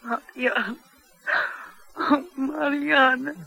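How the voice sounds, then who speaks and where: An actress playing a frightened but defiant captive in a radio drama, halting and emotional. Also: female voice, sits high, fairly even intonation, gravelly, exaggerated voice. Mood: grieving. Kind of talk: 1970s radio drama acting.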